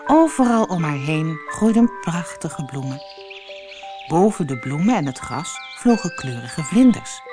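A woman reading a children's fairy tale as scripted narration, with expressive, clearly phrased storytelling. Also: deep voice, a little slow, music in the background.

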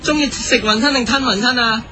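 A man playing an excitable, childlike sports presenter with a Hong Kong Cantonese accent in a comic broadcast parody. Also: very high voice, fairly quick, some chatter behind, slight background noise, heavily acted. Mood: chatty, questioning. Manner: exclamatory, chatty. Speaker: sports programme host.

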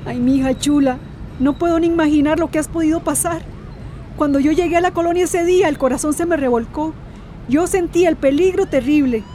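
A woman's voice: high voice, fairly quick, dark tone, a little hiss or hum, lightly acted. A woman playing an anxious, devoted mother in a radio drama, speaking emotionally and colloquially with a Central American accent. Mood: anguished. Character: anxious devoted mother searching for her missing daughter.

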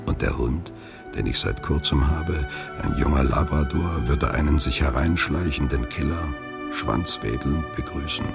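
An actor playing a weary, wary older man in hiding, delivering a measured, reflective monologue in a radio play with a gravelly voice. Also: very deep voice, loud music behind the voice, full character voice. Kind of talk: radio play narration, letter read as monologue.

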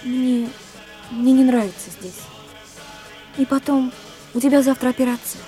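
A woman's voice: sits high, little pitch movement, a little slow, dark tone, faint music behind. An actress playing an anxious, devoted woman who is an uneasy guest, in a radio play. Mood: pleading.

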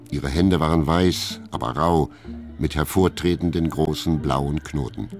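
An actor as the narrator of a radio play, telling a literary story in a calm, detached manner. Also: male voice, rumbling low.

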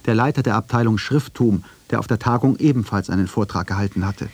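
A man's voice: on the low side, fast, darkish tone, slightly rough, no room sound. A man narrating a scripted radio documentary in dialogue form.

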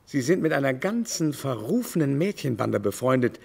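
An actor playing a fussy, imperious aging baron in a radio play, affected, theatrical and condescending. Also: male voice, mid-range voice, very animated, moderate pace, creaky, darkish tone, slightly rough, full character voice. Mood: haughty, scornful.

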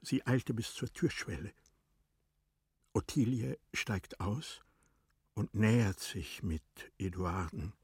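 A man narrating literary radio drama from a script, with deliberate, dramatic phrasing. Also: lowish voice, animated, breathy edge, no room sound, studio quality.

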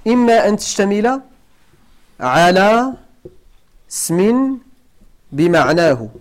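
A man's voice: high-pitched, sing-song, slow. A man with a Moroccan accent teaching grammar to a class, asking students questions and repeating each point for emphasis. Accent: Moroccan Arabic. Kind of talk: classroom lesson.

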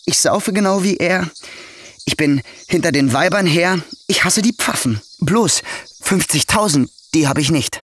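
A man's voice: a little high, measured pace, a bit bright. An actor playing a bitter young nephew who is in debt and hates his prospects, talking in a sullen, brooding way in a radio play. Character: bitter young male nephew, indebted and resentful.

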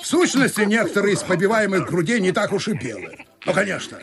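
An actor playing a genteel ship captain in a radio comedy, courteous and theatrical in manner. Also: male voice, sits high, sing-song, fast talker. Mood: surprised, firm. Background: music behind the voice.

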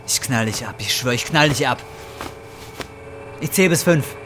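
A man's voice: medium pitch, expressive, unhurried, background noise, lightly acted. A man acting a volatile, bitter, down-and-out young man in an audio drama, coarse and combative in his talk. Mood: threatening, angry. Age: young adult. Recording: music bed behind dialogue. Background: music.

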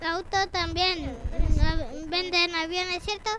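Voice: very high voice, fairly even intonation, slow pace, crowd noise. A child improvising a playful shop role-play in Spanish, with noise all around. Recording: noisy, loud ambient room noise.

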